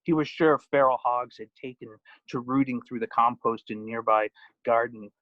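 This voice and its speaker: A man's voice: medium pitch, steady tone, normal speed, no room sound. A man giving an author's reading of his own poems and stories, with informal asides. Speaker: poet and author. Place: at home. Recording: dull, muffled lo-fi sound.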